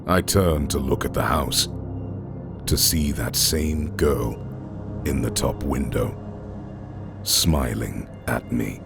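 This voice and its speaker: A man reading scripted horror stories as a narrator, in a deliberate, suspenseful way with drawn-out phrase endings. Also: a bass voice, gravelly. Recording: music under the voice. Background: background music.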